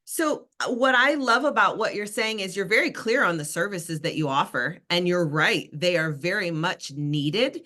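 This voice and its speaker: A woman's voice: lowish voice, expressive, moderate pace, hardly any pauses, bright, forceful tone. A woman sales coach leading a live online class over a video call, upbeat and encouraging, calling on participants by name.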